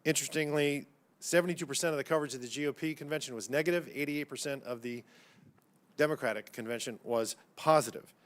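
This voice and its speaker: A man's voice: fairly high pitch, quick pace. Man, combative folksy congressman. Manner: folksy, combative, uses y'all. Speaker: congressman questioning witnesses. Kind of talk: pointed questioning at a legislative hearing.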